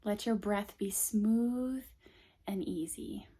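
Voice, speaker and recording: A woman yoga teacher leading a guided meditation in soothing, gentle short phrases, with a soft voice that turns breathy at times. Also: mid-range voice, takes it slowly.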